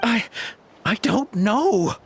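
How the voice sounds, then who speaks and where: A captured henchman in an anime game. His voice is high for a man and jumps up and down as he explains himself, nervous, hesitant and defensive. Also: expressive, drawn-out words, fairly bright tone, husky, full character voice. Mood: nervous, evasive.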